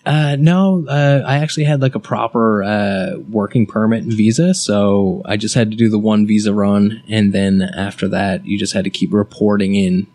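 A young man from Canada chatting casually as an interview guest, relaxed and halting with many fillers. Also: fairly low pitch. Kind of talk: casual chat.

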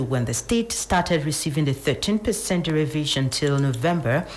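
A Nigerian woman reading scripted radio news in a formal, measured way. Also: sits low, a little hiss or hum, poor audio. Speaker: radio newsreader.

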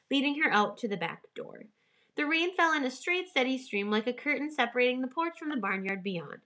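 A woman with a bright, forward voice reads a children's story aloud. She narrates expressively, as a storyteller does, at a medium pitch.

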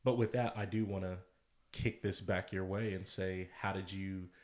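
A man co-hosting a podcast who speaks in a friendly, measured way and uses casual fillers. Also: deep voice, fairly even intonation. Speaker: podcast co-host. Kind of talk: casual conversation about workplace groups.

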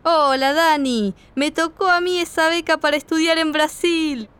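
A woman's voice: high-pitched, steady tone, normal speed, a bit bright, a little hiss or hum. A woman with an Argentine Spanish accent, playing a young woman telling a friend her news in a recorded dialogue.

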